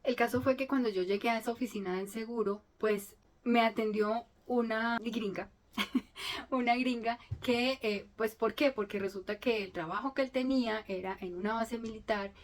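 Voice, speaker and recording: A middle-aged woman, a native Spanish speaker, telling a personal story in an earnest, emotional way, with frequent restarts. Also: medium pitch. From Colombia. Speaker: vlogger telling her story.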